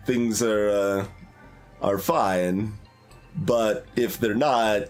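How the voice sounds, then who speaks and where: A man's voice: fairly low pitch, fairly even intonation, very slow. A man doing casual game commentary as a streamer, thinking aloud in a hesitant, analytical way. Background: game music.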